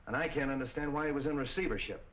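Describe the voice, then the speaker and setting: An actor playing a brisk, businesslike police captain, terse and no-nonsense, in 1940s film style. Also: male voice, medium pitch, a bit bright, close to an everyday voice. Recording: muffled, distant 1940s film soundtrack with hiss.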